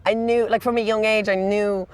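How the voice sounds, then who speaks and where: A woman's voice: mid-range voice, level tone, moderate pace, clean tone. A young Irish woman, a comedian, chatting casually in a rambling way, full of "like" and laughter. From Ireland. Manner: rambling, peppered with "like", laughing often. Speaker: comedian guest. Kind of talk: casual chat.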